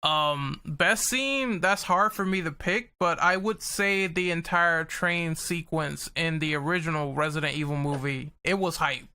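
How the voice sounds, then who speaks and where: High, bright-voiced man hosting casually. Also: measured pace, hardly any pauses, smooth. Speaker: podcast host. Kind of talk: casual podcast discussion.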